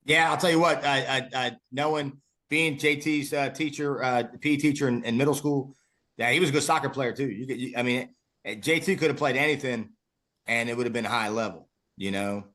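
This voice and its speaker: A man's voice: mid-range voice, brisk, a bit bright. A man co-hosting a football podcast, chatting casually and rambling with repeated words and restarts. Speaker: podcast co-host, former PE teacher. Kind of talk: casual interview.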